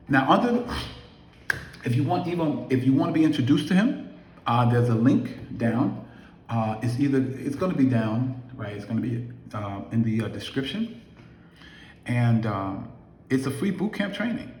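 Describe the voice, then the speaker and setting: Man, late fifties, mid pitch, emphatic motivational vlogger; recorded in a reverberant room with a faint hiss. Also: a little mellow. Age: 50s. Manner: emphatic, preachy, rhetorical questions. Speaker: affiliate marketer vlogger. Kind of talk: motivational talk to camera. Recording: echoey room.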